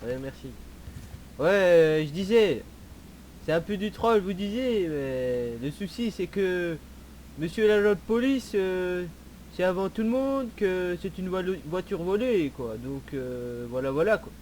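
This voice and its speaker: High-voiced, protesting car thief played by a man. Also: lively intonation, unhurried, a little mellow. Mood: hesitant, evasive. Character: cheeky, protesting male car-theft suspect. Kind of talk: improvised online game roleplay. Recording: clearly noisy game voice chat.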